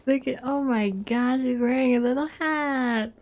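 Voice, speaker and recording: A woman's voice: high-pitched, measured pace, darkish tone, slight background noise. A young adult woman livestreaming a game, chatting casually and reacting as she plays.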